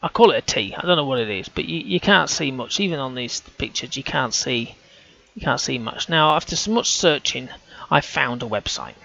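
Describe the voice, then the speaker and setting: Gravelly man musing aloud, lo-fi sound. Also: medium pitch, expressive, moderate pace, bright, forceful tone. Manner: hesitant, self-correcting, casual. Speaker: amateur researcher. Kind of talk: thinking-aloud commentary over on-screen images.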